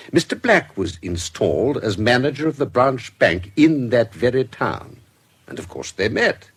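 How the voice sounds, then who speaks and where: A man with a British accent playing a shrewd, composed investigator in a radio drama, recounting a case in formal, measured, precise language. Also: low-pitched, slightly rough, exaggerated voice. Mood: methodical, grave. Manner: formal, measured, deliberate storytelling.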